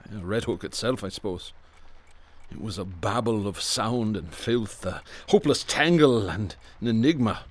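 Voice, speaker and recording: A man's voice: on the low side, lively intonation, moderate pace. A man playing a brooding, bookish Irish police detective who speaks in ornate, literary phrases in a radio drama. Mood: haunted.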